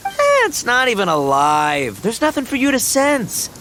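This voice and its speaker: Faint background noise sits behind a brash young oni gang leader from a video game. He pitches high and swings wildly as he makes grand, hot-blooded boasts in a theatrical manner. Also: wildly swooping pitch, measured pace, pushed and bright, full character voice. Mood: cocky. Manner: bombastic, theatrical declarations.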